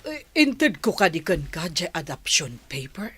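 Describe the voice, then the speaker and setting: A woman's voice: on the low side, very animated, measured pace, fairly bright tone, husky, heavily acted. A woman playing a reproachful old grandmother in an Ilocano radio comedy-drama. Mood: uneasy.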